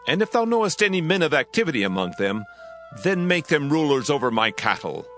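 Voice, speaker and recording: A man's voice: fairly high pitch, very animated, fairly bright tone, soft background music, pitched above the performer's own voice. A man voicing a stately ancient king. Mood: regal. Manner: measured regal delivery. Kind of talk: dramatized scripture reading.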